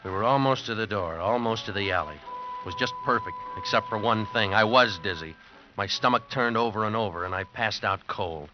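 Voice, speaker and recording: A man acting a hardboiled, hard-luck drifter in a 1940s radio noir. His lowish voice is terse and brooding, on a muffled old recording under loud music. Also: brisk, close to an everyday voice. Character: hard-luck American drifter narrating his own misadventure. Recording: dull vintage radio recording. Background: dramatic music.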